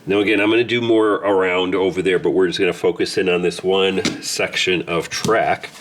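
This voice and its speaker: A man's voice: low voice, steady tone, moderate pace, fairly bright tone. A man from Southern California with a congested voice from the flu, chatting casually and rambling with many fillers while working on his model railroad layout at home. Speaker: model railroad hobbyist host. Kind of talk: casual hobby vlog.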